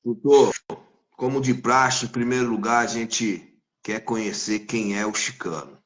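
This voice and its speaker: Slow-spoken Brazilian capoeira master. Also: male voice, fairly low pitch, steady tone, echoey room. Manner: slow, deliberate, fatherly. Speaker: capoeira master, live-stream host. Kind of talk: live-stream interview.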